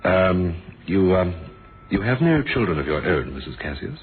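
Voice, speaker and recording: An actor playing a dogged police inspector in a radio mystery, questioning coolly and formally with pointed pauses. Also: male voice, sits low, big pitch swings, soft background music. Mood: politely probing. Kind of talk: 1970s radio mystery drama acting. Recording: muffled, noisy old radio recording.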